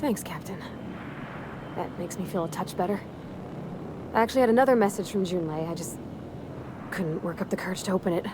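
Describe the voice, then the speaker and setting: An actress playing a sweet, shy young ship mechanic in a video game, speaking with a rural Southern American accent in a folksy, hesitant, earnest way. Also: female voice, on the low side, fairly quick, pauses often, background noise, lightly acted. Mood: relieved, resolute. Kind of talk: video game character acting.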